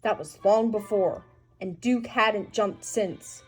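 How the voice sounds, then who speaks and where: A woman's voice: mid-range voice, slow pace, husky. A woman reading a children's story aloud in a scripted narration style. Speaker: storybook reader. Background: music.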